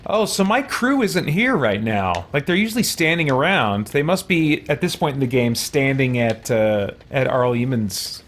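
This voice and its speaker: A man's voice: mid-range voice, big pitch swings, normal speed, few pauses, fairly bright tone, slight background noise. A man giving casual let's play commentary as a video host, thinking aloud with muttered asides and exclamations.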